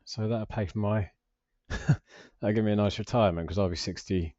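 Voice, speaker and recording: A man's voice: fairly low pitch, fairly even intonation, moderate pace, dark tone, dry recording, dull audio. A British man in his forties giving a casual, unscripted finance vlog commentary in a hesitant, rambling, self-deprecating way. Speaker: personal finance vlogger.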